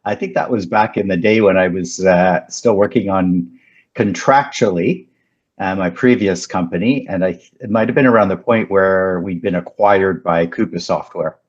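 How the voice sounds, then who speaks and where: Deep-voiced man in his fifties, lo-fi sound. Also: slightly rough. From Canada. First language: French. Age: 50s. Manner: measured, with frequent ums and restarts. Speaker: guest, company founder. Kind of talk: casual interview chat.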